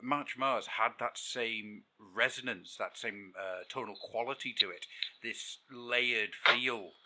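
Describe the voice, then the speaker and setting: A man's voice: medium pitch, unhurried, pushed and bright. A man hosting a podcast, chatting casually and enthusiastically, rambling a little with fillers. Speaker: podcast host.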